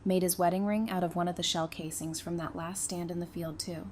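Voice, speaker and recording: A woman's voice: fairly low pitch, flat delivery, brisk, a little mellow, slight background noise, lightly acted. A woman playing a level-headed, supportive, teasing wife and mother in an audio drama, speaking frankly and conversationally. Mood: reassuring.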